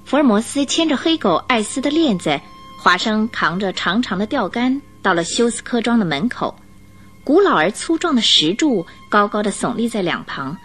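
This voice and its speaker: A woman narrating a radio drama in an expressive, dramatic storytelling style. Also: medium pitch, breathy edge. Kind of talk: scripted Mandarin storytelling narration. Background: music.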